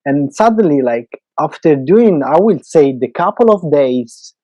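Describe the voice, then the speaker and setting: A man's voice: on the high side, animated, unhurried, mellow, no room sound, lo-fi sound. A man in his twenties from Italy, speaking English with an Italian accent as a podcast host. He is eager and talkative, and he searches for words. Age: 20s. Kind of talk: casual podcast chat.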